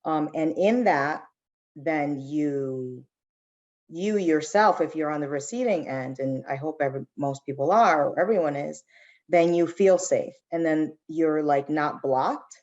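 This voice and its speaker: Woman, low voice, reflective panel talk, earnest manner, slightly dull lo-fi audio. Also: unhurried, a little mellow. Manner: earnest, reflective, asks "right?" as she goes. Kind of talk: thoughtful panel discussion talk.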